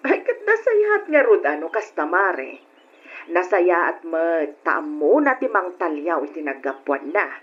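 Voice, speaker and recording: An actress playing a chatty, nosy middle-aged village woman in a radio drama, with a gossipy, animated manner. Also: fairly low pitch, unhurried, breathy edge, full character voice. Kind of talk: Ilocano radio drama acting. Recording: dull, noisy old radio drama recording.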